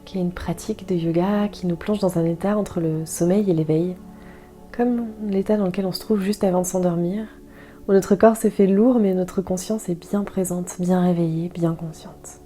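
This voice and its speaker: A woman guiding a yoga nidra meditation in a soft, calm, soothing way, leaving gentle pauses. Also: fairly low pitch, faint music behind. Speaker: guided meditation instructor.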